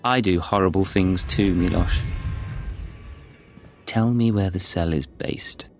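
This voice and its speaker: A man's voice: sits low, takes it slowly, soft background music. A man playing a cool, calculating secret agent in a video game, controlled and understated. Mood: cold threat. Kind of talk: video game dialogue acting.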